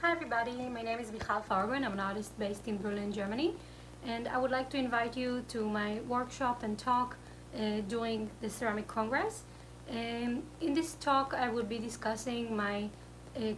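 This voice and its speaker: A woman in her thirties with a fairly high voice introduces her workshop, hesitating over fillers. There is a faint hum behind her in a shelved studio. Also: normal speed, rough recording. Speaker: artist. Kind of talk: promotional self-introduction.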